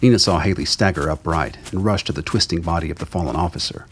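A man's voice: deep voice, fast talker, a little hiss or hum. A man reading scripted dramatic narration for a radio play.

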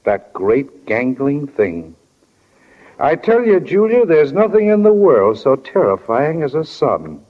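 A man's voice: mid-range voice, big pitch swings, slow. An actor playing a grumbling, fretful small-town husband and father in 1940s radio drama, folksy and exclamatory. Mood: fretful, wry. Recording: dull, lo-fi 1940 radio recording.

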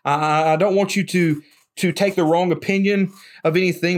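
A man preaching a conversational, emphatic sermon, often repeating words as he starts a thought. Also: a little high. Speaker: pastor.

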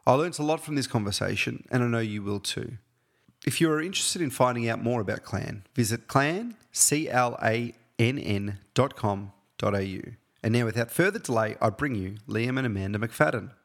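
A man hosting his podcast, reading a scripted introduction and then interviewing guests, a little halting when off script. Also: medium pitch, vocal fry. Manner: earnest, slightly halting when unscripted. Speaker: podcast host.

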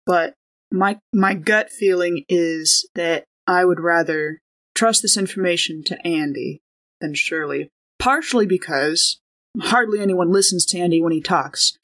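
A woman playing a tabletop game, talking through options in an informal, hedging, conversational way. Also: fairly low pitch. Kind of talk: casual in-character tabletop discussion.

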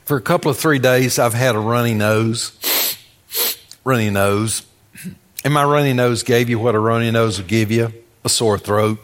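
A man's voice: medium pitch, normal speed. An American man preaching a sermon in a folksy, conversational way, telling stories, repeating phrases for emphasis and asking rhetorical questions.

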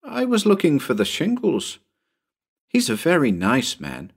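A man's voice: fairly high pitch, wildly swooping pitch, unhurried, creaky, breathy edge, voice pushed up, full character voice. A man voicing an innocent, earnest little boy in a storybook, childlike and pleading. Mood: appealing.

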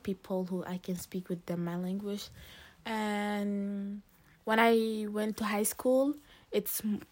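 A teenage girl from Lebanon, a high school student answering interview questions in a casual, hesitant way with many fillers and restarts. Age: teens.

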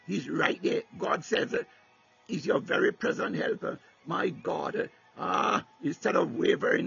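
A man's voice: high voice, gravelly, a bit bright, husky. A man preaching a fervent sermon with prayer, repeating "my God" between phrases and breaking into tongues. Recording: dull lo-fi recording.

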